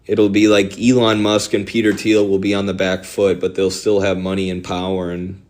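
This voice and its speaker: Midwestern man, lowish voice, streamer, rambling and sardonic. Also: monotone, a little mellow. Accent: Midwestern American. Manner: rambling, fast-shifting, sardonic. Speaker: political streamer. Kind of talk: casual live-stream political talk.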